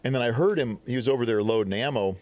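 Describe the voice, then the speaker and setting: A man from the Midwest, telling stories on a podcast in a casual, animated way, acting out quotes. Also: medium pitch, quick pace, no echo. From Upper Midwest. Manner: animated storyteller, acts out quotes. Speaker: podcast guest. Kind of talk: casual storytelling.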